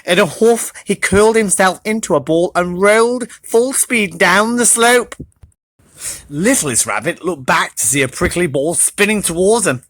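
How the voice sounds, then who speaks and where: Animated, swooping children's storybook reader. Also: mid-range voice, measured pace, no room sound.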